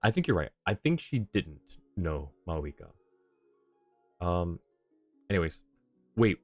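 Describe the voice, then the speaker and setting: Low-voiced streamer guy chatting thru a game, laughs a lot, faint game music behind. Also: big pitch swings, measured pace, dry recording. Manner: chatty, laughs often, muttering asides. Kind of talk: casual live game commentary.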